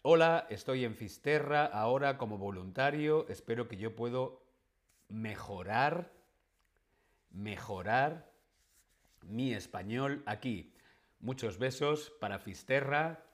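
A man teaching Spanish in a live stream, speaking slowly and clearly and repeating key words for learners. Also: medium pitch, animated. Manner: reads viewer chat questions aloud. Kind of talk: live-streamed language lesson.

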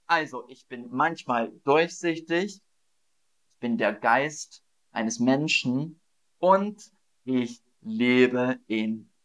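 A man teaching German learners with a riddle quiz, speaking slowly and deliberately, repeating each phrase and laughing at his own slips. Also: medium pitch. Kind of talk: slow, repetitive quiz lesson for learners.

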